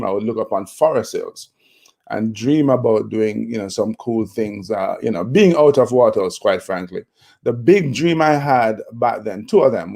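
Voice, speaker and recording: A Jamaican man in his fifties, a motivational speaker, telling his life story in a relaxed interview with many fillers and restarts. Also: on the low side, gravelly. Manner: reflective, with frequent fillers and restarts.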